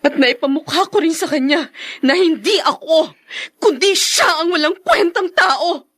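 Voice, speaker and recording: An actress playing a wronged woman turned defiant in a radio drama, with measured, emphatic delivery. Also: extremely high pitch, animated, a bit bright, exaggerated voice. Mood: wounded defiance.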